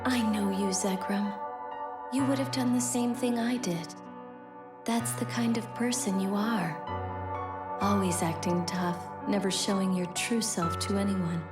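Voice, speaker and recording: A woman playing a gentle, devoted young woman in love, speaking earnestly in a video game drama. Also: medium pitch, fairly even intonation, echoey room, over loud music, natural voice. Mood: calm, loving. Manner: tender, earnest, unhurried.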